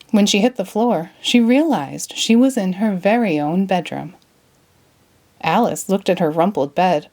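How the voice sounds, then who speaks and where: Expressive woman reading a children's storybook. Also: medium pitch. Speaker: storybook narrator. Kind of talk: expressive children's read-aloud narration.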